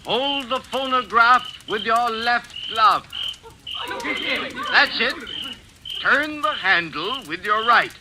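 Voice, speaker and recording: A man playing a formal, defiant professor in an old-time radio mystery, speaking theatrically and deliberately on a noisy old recording. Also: extremely high pitch, full character voice. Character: theatrical, defiant older male professor staging an illusion.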